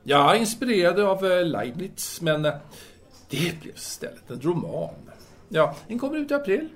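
Man acting courteous male intellectual, self-absorbed, halting, musing, very lively pitch swings, audio drama.

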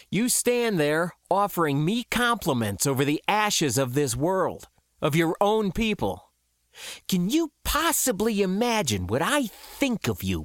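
A man's voice: fairly high pitch, fairly bright tone, no room sound, voice pushed up. Defiant audiobook adventurer played by a male narrator, sarcastic and biting, swooping intonation, dry sound. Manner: biting, emphatic. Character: defiant, sarcastic first-person adventurer under pressure.

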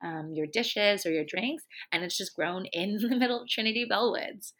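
Bright-voiced chatty woman from Hong Kong. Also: medium pitch, normal speed. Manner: easygoing, chatty, many fillers. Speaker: bartender and drinks educator. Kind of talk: casual interview chat.

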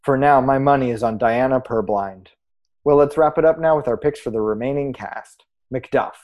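A man playing a measured, analytical co-host of a theatre prediction show, trading scripted podcast commentary. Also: mid-range voice, muffled recording, natural voice. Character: measured, analytical co-host giving casting odds.

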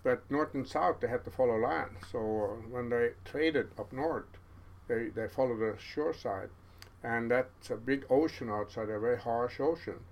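A man's voice: medium pitch, highs cut off. Older Norwegian man recalling his past. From Norway. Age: 70s. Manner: halting, with many restarts and pauses. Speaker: guest. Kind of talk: casual storytelling interview.

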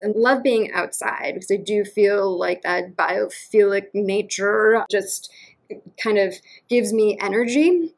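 A woman's voice: medium pitch, vocal fry, rough recording. A woman in her thirties from Western Massachusetts with a slight local accent, talking as an interview guest in an upbeat, enthusiastic way. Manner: upbeat, enthusiastic, quick bursts. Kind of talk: casual interview.

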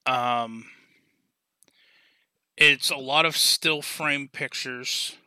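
Male podcast host, very bright and sharp, dry recording, casual and halting. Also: medium pitch, fairly even intonation, smooth, no room sound.

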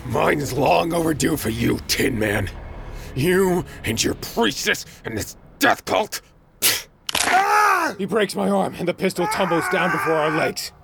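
A man's voice: fairly high pitch, sing-song, measured pace, creaky, heavy background noise, exaggerated voice. A man playing an embittered, defiant, desperate pirate who narrates his own story in a theatrical audio drama. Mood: furious, defiant, then tense, urgent. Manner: intense, theatrical, swinging between hushed narration and shouts. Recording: loud music over the voice.

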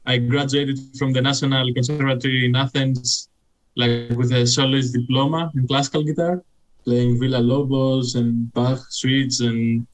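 A man's voice: mid-range voice, flat delivery, normal speed, rough recording. A young Greek man with Greek as his first language, chatting casually as a guest, his talk full of "like" and "you know". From Greece. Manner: rambling, heavy use of "like" and "you know". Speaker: guest musician.

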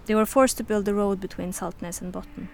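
A woman's voice: mid-range voice, steady tone, normal speed, creaky, background noise, lightly acted. A woman playing a frank, insistent character proud of her family history, speaking directly and pointedly in a naturalistic audio drama. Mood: grave.

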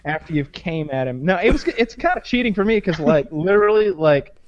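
A man's voice: fairly high pitch, lively intonation, fairly quick, lo-fi sound. A man co-hosting a casual comedy podcast, rambling with lots of fillers and frequent laughs. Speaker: podcast co-host.

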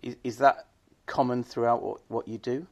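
A man with a husky voice presenting and interviewing as a podcast host. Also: medium pitch, steady tone, normal speed, dull audio. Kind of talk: scripted narration and podcast interview.

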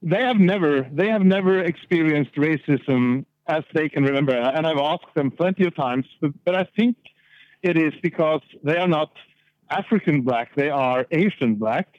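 A man's voice: fairly high pitch, moderate pace, no echo. A middle-aged man with a Norwegian accent, a guest speaking candidly and plainly, repeating words as he thinks. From Norway. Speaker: podcast guest. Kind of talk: casual conversation. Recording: tinny phone-line sound.

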